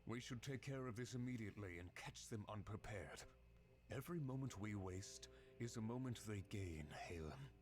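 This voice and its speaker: An actor playing a stern, irritated inquisitor master in a video game, controlled, formal and curt. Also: male voice, lowish voice, animated, moderate pace, over music, close to an everyday voice. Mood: cold, businesslike. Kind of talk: scripted video game voice acting.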